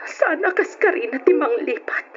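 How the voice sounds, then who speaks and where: Very high-pitched wronged wife. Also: female voice, lively intonation, normal speed. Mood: hurt, forgiving. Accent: Ilocano. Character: betrayed, long-suffering wife Sylvia, hurt but forgiving. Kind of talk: old Ilocano radio drama acting. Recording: muffled old radio drama, faint hiss.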